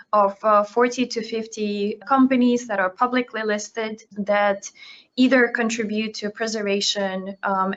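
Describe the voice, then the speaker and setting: A woman originally from Ukraine whose first language is Russian, explaining her work in a measured interview answer with frequent pauses and fillers. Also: medium pitch, fairly bright tone. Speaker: podcast guest, portfolio manager. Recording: dull lo-fi remote recording.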